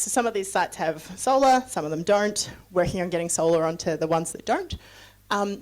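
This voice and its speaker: A middle-aged woman from New Zealand gives a loose, conversational talk on sustainability and takes audience questions. Her voice sits a little low, and she keeps it casual with fillers and side remarks. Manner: chatty and loose, with frequent fillers and asides. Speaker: guest speaker on sustainability.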